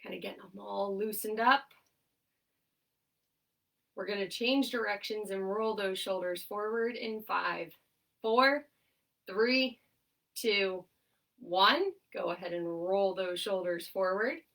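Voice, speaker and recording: A young woman occupational therapist leading a seated exercise class, her voice nasal and stuffed up, giving upbeat cues and steady countdowns. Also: medium pitch, takes it slowly, creaky, long pauses, far from the mic, poor audio. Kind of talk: instructional exercise cueing with countdowns.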